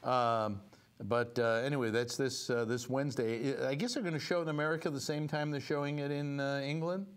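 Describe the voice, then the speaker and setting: A man's voice: mid-range voice, steady tone, brisk, clear, resonant tone. Older male talk host, wry, loose, rambling studio chat. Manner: rambling, wry, full of fillers. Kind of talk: casual talk show banter. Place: studio set.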